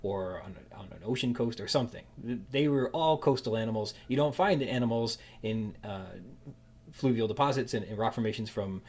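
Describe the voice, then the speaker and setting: A man hosting a podcast in casual conversation, thinking aloud in a halting, musing way and often rephrasing mid-thought. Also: mid-range voice, a little mellow. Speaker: podcast host. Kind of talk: casual interview chat.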